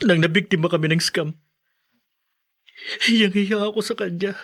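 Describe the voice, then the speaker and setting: High-pitched, anxious young man. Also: normal speed, fairly bright tone. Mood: grieving, reflective. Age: young adult. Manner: stammering, emotional delivery. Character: anxious, devoted young male student and best friend. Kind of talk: Filipino podcast radio drama acting.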